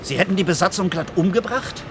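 An actor playing an agitated, conscientious space-agency official in a radio play, earnest, urgent and defensive. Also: male voice, sits high, fast, fairly bright tone, slight background noise.

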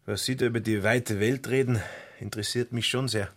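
A man's voice: mid-range voice, steady tone, normal speed, lightly acted. Radio drama: young Bavarian dealer played by a man, mid-range voice, smug, calculating, pompous and businesslike. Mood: self-important. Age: young adult. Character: young petty-bourgeois car dealer, self-important and calculating. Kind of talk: radio play acting.

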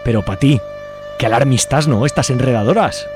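A man hosts live community radio in a mid-pitched voice. He is chatty and colloquial, speaking straight to his audience and guests. Music plays lightly behind him in the studio. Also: a little fast. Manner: chatty, colloquial, addresses listeners and guests directly. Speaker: radio show host. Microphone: studio microphone.

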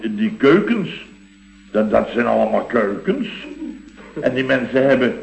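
A man's voice: medium pitch, sing-song, a little slow, vocal fry. An actor playing an indignant, blustering building-firm boss in a radio comedy, exclaiming and repeating himself as he grumbles. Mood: exasperated. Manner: exclamatory, repetitive, grumbling storyteller. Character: blustering, indignant male construction-company boss recounting weekend mishaps. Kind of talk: scripted radio comedy acting. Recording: dull, roomy old radio recording.

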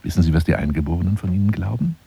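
An actor playing a self-dramatizing, obsessive big-game hunter monologuing on the telephone in a radio play, theatrical and often dropping into breathy whispers. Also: male voice, sits low, animated, rapid-fire, exaggerated voice. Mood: lecturing, musing. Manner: theatrical, swinging from hushed murmurs to agitated outbursts.

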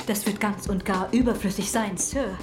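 An actor playing a servile, formal shop owner in a German radio play. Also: male voice, high-pitched, steady tone, moderate pace, over music, natural voice. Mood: deferential. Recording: roomy echo.

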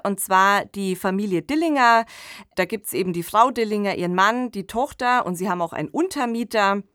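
A woman speaks as a podcast presenter, explaining a story in a conversational tone. Her medium-pitched voice is recorded close and dry. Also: fairly bright tone, no echo. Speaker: podcast presenter introducing a radio play.

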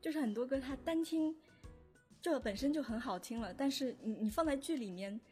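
High-voiced woman chatting over music. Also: fairly quick, a little mellow. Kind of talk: casual chat.

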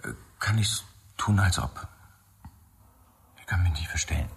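An actor playing a weary, uneasy man in a German radio drama, halting and resigned. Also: low-pitched, vocal fry. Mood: weary, pleading. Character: weary, uneasy man who cannot pretend.

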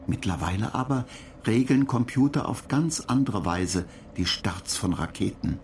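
A man's voice: fairly low pitch, lively intonation, measured pace, a little mellow, a little hiss or hum. A man playing a dutiful data-agent machine that lectures in a matter-of-fact, pedantic way in a radio play. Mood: instructive. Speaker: narrator.

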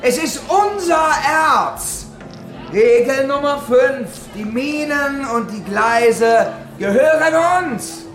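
An actor playing a domineering narrator of a seedy city in a literary radio drama, with a commanding, declamatory delivery. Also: male voice, very high-pitched, animated, takes it slowly, fairly bright tone, heavily acted. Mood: furious, shouting. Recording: music behind the voice. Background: music.

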